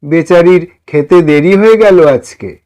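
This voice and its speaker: A man voicing a meek, eccentric older gentleman fallen on hard times, earnest and apologetic, in a story. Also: a little high, unhurried, voice pushed up, close to an everyday voice. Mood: breathless, earnest. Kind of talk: acted dialogue in an audio story.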